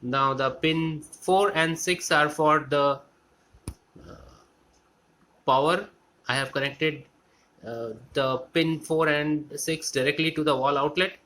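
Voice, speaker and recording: A man giving an unscripted technical walkthrough as a hobbyist, explaining step by step in a halting way and repeating phrases. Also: fairly high pitch, steady tone, pushed and bright, low-quality recording. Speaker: electronics hobbyist demonstrating a restored amplifier. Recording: clear background noise with clicks.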